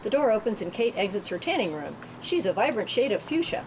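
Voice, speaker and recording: A woman's voice: mid-range voice, very animated, a little fast. A woman reading stage directions as narrator at a script table read, in a matter-of-fact way. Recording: clearly noisy room recording.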